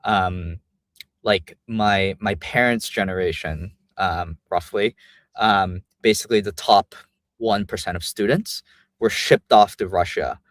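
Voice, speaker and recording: A young man from Vietnam, speaking with an American accent as a guest on an interview show. His low-pitched voice is recorded dry and close, with no room around it. He rambles comfortably, with plenty of "um" and "like" between thoughts. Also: a little slow, dry recording. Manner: relaxed, many fillers like "um" and "like". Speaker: guest, company founder. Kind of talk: casual interview chat.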